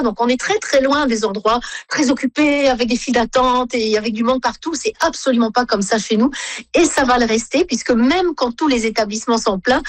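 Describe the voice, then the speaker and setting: A woman's voice: a little high, no echo. A woman with a Saint-Pierre French accent, a travel agency president explaining her islands as a radio interview guest. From Saint-Pierre-et-Miquelon.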